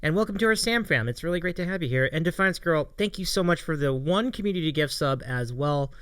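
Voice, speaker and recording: A man's voice: a little high, expressive, fast, fairly bright tone, clear, resonant tone, dry recording. A man hosting a livestream interview show, chatting casually in an appreciative, encouraging way. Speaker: host of a streaming interview show.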